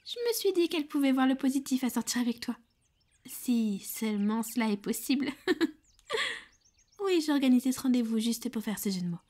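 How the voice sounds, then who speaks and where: A woman acts a playful female Cupid in a fantasy ASMR roleplay. Her voice is high, and she talks in a breezy, teasing way, like a matchmaker explaining a match. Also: expressive, normal speed, mellow. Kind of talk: French ASMR roleplay acting.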